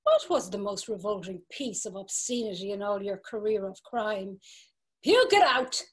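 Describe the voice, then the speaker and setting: An actress playing a domineering brothel madam, commanding and mocking, in a dramatic reading over a video call. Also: female voice, medium pitch, big pitch swings, normal speed, natural voice. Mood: taunting. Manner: commanding, taunting. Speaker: stage actor.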